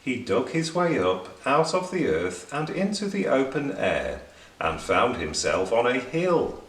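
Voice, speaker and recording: A man tells a children's story with very lively intonation and an unhurried pace. He sounds a little away from the microphone in an echoey room. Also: mid-range voice. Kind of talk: expressive children's story narration. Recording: roomy, distant, faint background noise.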